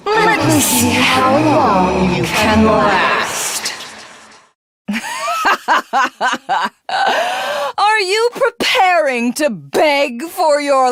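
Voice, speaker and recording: A voice actress playing a haughty, cruel would-be goddess, a grandiose and theatrical video game villain. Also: female voice, high voice, a bit bright, no echo, studio quality, heavily acted. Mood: gloating, wild. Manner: grandiose, slow, archaic, menacing delivery.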